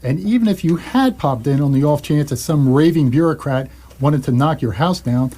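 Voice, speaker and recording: A man's voice: on the high side, lively intonation, normal speed, warm tone. A man playing an indignant, stubborn and sarcastic homeowner in a comic radio play, with a dry delivery.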